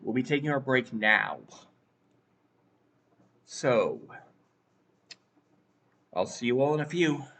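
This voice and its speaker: A man's voice: mid-range voice, lively intonation, slow pace, pauses often, pushed and bright. A man streaming a visual novel and reading its text aloud, with game music playing behind him. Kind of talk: live game read-aloud with character voices. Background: video game music.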